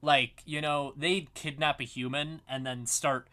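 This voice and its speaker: A man's voice: a little high, steady tone, measured pace, bright, forceful tone. A man hosting a casual livestream, explaining fantasy game lore in an informal, sweary, apologetic way while sounding a bit tired. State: tired, yawning. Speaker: livestream host.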